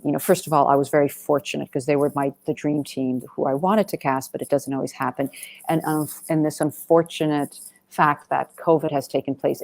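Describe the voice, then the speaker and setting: A woman's voice: sits low, darkish tone, dry recording. A woman speaking as a film director in an interview, answering conversationally in a discursive, emphatic way and often rephrasing as she goes.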